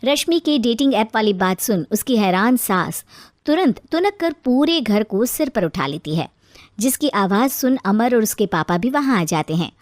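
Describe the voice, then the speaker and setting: A woman's voice: a little high, animated, moderate pace, no room sound, studio quality. A woman narrator reading a scripted moral family story.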